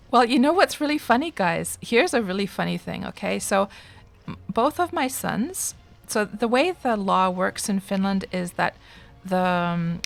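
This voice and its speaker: A middle-aged woman, chatting casually and expressively with viewers as a live streamer. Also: mid-range voice.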